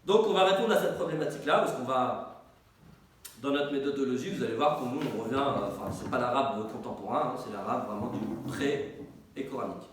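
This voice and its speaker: A man's voice: medium pitch, fairly quick. A man whose first language is Arabic, teaching a small group informally; he repeats words as he searches and asks his own questions, then answers them. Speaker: teacher, institute director. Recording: echoey room, distant mic, faint noise.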